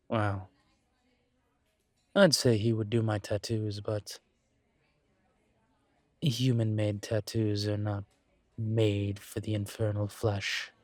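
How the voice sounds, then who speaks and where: A man acts out a composed incubus investigator for a roleplay audio drama. His voice sits fairly low and stays very even, with unhurried, deliberate phrasing. He is politely self-assured and dryly wry, recorded close and dry. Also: no room sound. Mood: amused. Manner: measured, deliberate, wry, conversational. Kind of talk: scripted one-sided roleplay audio drama.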